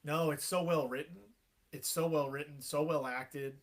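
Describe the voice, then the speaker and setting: A man in his thirties, a guest on a podcast, chatting in a relaxed way with frequent laughs and fillers. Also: on the high side, normal speed. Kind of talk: casual chat.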